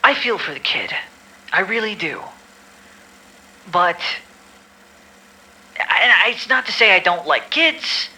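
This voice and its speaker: Bright, forceful man acting as a cynical staffer. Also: sits high, animated, slow pace, long pauses, husky, heavily acted, poor audio. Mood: exasperated. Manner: formal dry reading breaking into exasperated grumbling. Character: irritable, cynical male staffer recording medical files. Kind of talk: amateur audio drama acting.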